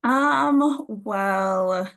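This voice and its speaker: A woman's voice: medium pitch, very slow, fairly bright tone. Upbeat young woman from Jamaica. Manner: upbeat, chatty, laughs. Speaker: graduate student guest. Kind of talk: casual conversation.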